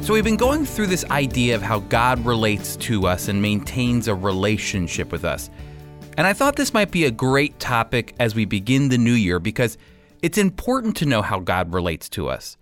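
A man with an American accent hosting a radio program, teaching the Bible in an emphatic, deliberate way. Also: medium pitch, sing-song, music in the background.

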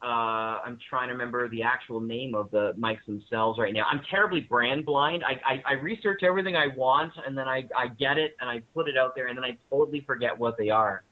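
A man talks casually as an interview guest over a narrow, phone-like connection. His voice sits at a medium pitch, and he rambles along with enthusiasm. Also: fairly quick, hardly any pauses. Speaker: guest, audio drama writer and director. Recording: narrow-band phone-like line.

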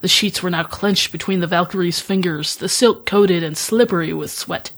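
A woman's voice: fairly low pitch, normal speed, fairly bright tone. A woman reading scripted fan fiction narration as a podcast host. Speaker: podcast host, author reading own story.

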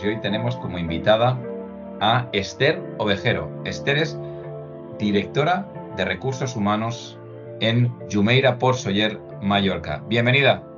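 A man's voice: fairly low pitch, lo-fi sound. A man hosting a podcast interview, talking in a hesitant way with many restarts and tag questions. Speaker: podcast host. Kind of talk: conversational interview.

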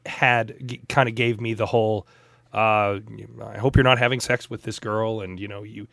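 Gravelly, low-voiced man chatting thoughtfully. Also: fairly even intonation, moderate pace, fairly bright tone, no room sound. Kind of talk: casual personal anecdotes.

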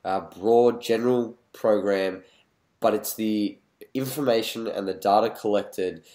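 A man hosting a podcast, his voice stuffy and nasal from a cold. He sits a little low in pitch and speaks with enthusiasm. The sound is roomy and echoey. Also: measured pace. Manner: warmly enthusiastic wrap-up. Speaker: podcast host. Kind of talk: casual interview talk. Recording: echoey room.

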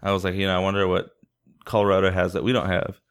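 A man's voice: sits low, fast, creaky, smooth. A man chats casually as a podcast co-host. He tells his story in loose, slangy, swear-filled language, acting out what people said as he goes. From San Diego, California, United States. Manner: profane, slangy, animated storytelling with acted-out quotes. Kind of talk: casual chat, anecdote telling.

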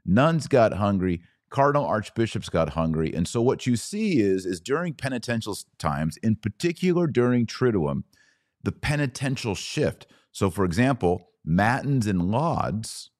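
A man's voice: low-pitched, darkish tone, no room sound, studio quality. A man hosting a podcast, explaining things in an informal, conversational and emphatic way, repeating key phrases. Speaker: podcast host. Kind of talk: informal explanatory talk on church liturgy.

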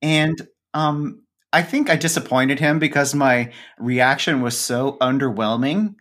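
A man's voice: mid-range voice, unhurried, fairly bright tone. A man who co-hosts a podcast, chatting casually as an interview guest. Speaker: podcast co-host appearing as guest.

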